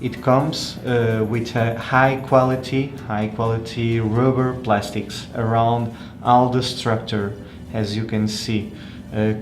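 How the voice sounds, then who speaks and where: A man giving an unscripted hands-on product review in a halting, hesitant way, often pausing and repeating words. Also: mid-range voice, reverberant. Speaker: tech reviewer presenting a phone.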